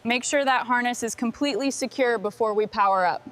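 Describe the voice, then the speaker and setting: A woman leading a restoration crew, giving calm, clipped instructions in scripted workshop dialogue. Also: fairly high pitch, brisk.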